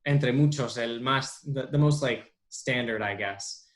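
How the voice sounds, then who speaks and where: A man's voice: mid-range voice, unhurried. Young guy being interviewed, lots of ums and restarts, easygoing chat. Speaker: interview guest, bilingual speech therapist. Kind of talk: casual interview.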